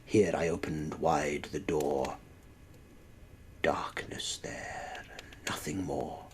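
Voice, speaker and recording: A man giving a dramatic recitation of a gothic poem, theatrical and often dropping to a hushed near-whisper. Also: very deep voice, fairly even intonation, takes it slowly. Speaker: poetry reader. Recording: noticeable background noise.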